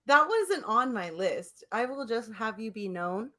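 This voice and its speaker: A woman's voice: fairly high pitch, big pitch swings, a little slow, pushed and bright. A woman hosting a podcast in casual, playful banter, often with a mock-indignant manner. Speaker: podcast host.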